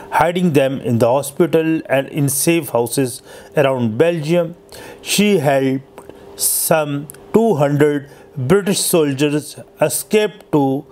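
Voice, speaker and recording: A man narrating an inspirational historical story as its host, with halting, deliberate phrasing and occasional stumbles. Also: fairly high pitch, darkish tone. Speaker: host telling inspirational true stories.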